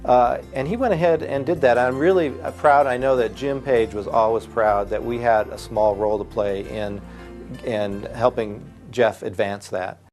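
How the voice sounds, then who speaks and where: A man's voice: medium pitch, steady tone, moderate pace. A man giving a reflective recollection in a documentary interview. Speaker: interviewee, magazine publisher. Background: soft guitar music.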